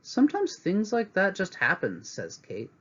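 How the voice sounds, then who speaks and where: A man's voice: high-pitched, wildly swooping pitch, measured pace, a bit bright, husky, muffled recording. A man teaching a read-aloud lesson to children, reading a picture book and adding teacherly asides from home.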